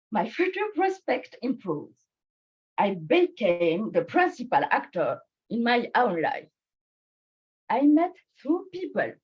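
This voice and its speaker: A woman born in Bangladesh and raised in France, with a French and Swiss French accent and French as her first language, giving a prepared personal speech at a speaking club in slow, deliberate phrases with pauses. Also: on the high side, breathy edge, lo-fi sound.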